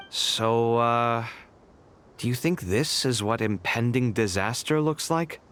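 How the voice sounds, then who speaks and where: A man's voice: mid-range voice, fairly bright tone, slight background noise. An actor playing a proud, argumentative young male scholar in a video game, speaking with theatrical emphasis.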